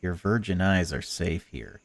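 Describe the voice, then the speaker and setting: A man's voice: deep voice, lively intonation, unhurried, no echo. A man hosting a gaming video, reading a visual novel aloud and breaking off for wry, joking asides.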